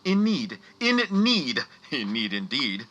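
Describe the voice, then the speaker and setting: A man's voice: mid-range voice, wildly swooping pitch, slow, fairly bright tone, slight background noise, exaggerated voice. A man playing a pompous, greedy, self-centred wealthy father with theatrical delivery in a comic radio play. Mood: outraged.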